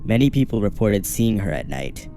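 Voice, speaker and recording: A man tells a scripted horror story in the first person, in a steady and measured way. His voice sits fairly low and sounds soft and mellow. Faint music runs quietly behind him. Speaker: narrator.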